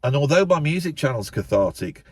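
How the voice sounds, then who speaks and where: A man's voice: a little high, big pitch swings, a little fast, breathy edge, dry recording. Ranting man in a parked car. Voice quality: loud voice. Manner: ranting, emphatic, repeats words. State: congested, blocked-up nose. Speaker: YouTube channel host. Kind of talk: impassioned monologue to camera. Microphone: camera set up in the car.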